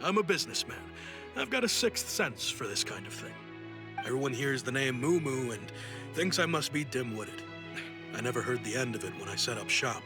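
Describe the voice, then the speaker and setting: A man's voice: medium pitch, very animated, moderate pace. A man voicing a self-assured, sentimental young businessman in an anime game, reflective and assured. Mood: proud. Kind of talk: anime game dialogue acting. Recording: game music over the voice.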